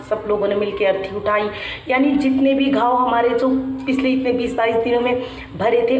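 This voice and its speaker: A young woman vlogger, her voice worn by a sore throat, talking softly and haltingly to her viewers from home. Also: medium pitch. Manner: soft, halting, reflective; frequent restarts with "matlab". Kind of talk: personal vlog talking to viewers. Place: room at home. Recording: echoey room, mic at a distance, faint hum.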